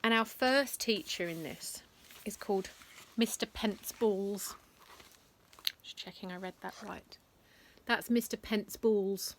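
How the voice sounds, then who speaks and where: A woman reading a bedtime story aloud to children, with lively, dramatic emphasis and chatty asides. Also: medium pitch, takes it slowly, long pauses, slight background noise.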